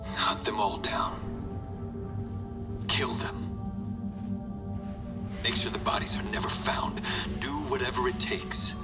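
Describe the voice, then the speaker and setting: A man's voice: medium pitch, fairly even intonation, slow, long pauses, natural voice. An actor playing a cold, ruthless man of power in a game drama, speaking with controlled menace. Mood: hushed menace. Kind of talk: dramatic video game cutscene acting. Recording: very loud music behind.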